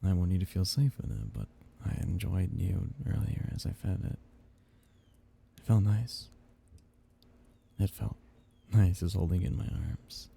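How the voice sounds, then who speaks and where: A man playing a tender, possessive vampire count in an intimate ASMR roleplay, speaking in soft, teasing murmurs with a husky, gravelly voice. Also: low voice, expressive, a little slow, pauses often, slight background noise, exaggerated voice. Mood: affectionate.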